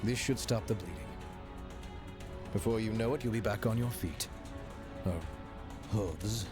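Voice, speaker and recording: An actor playing a kind, casual young healer in a video game, easygoing and lightly wry. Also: male voice, fairly low pitch, measured pace, music in the background, natural voice. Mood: reassuring. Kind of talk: video game cutscene acting.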